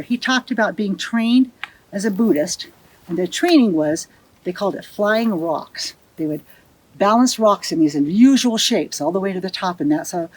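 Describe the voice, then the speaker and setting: A woman's voice: medium pitch, darkish tone, a little hiss or hum, poor audio. A woman presenting and explaining a site as a guide, speaking outdoors on location.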